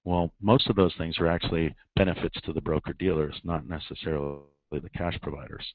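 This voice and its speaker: A man with a very low voice walks through market details at a steady, explanatory pace, pausing often on um and uh. He comes through a narrow, tinny phone line that sounds dry and close, with no room around it. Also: no room sound. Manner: measured, explanatory, frequent ums and uhs. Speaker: repo market expert guest. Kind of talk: conference call explanation. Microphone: telephone.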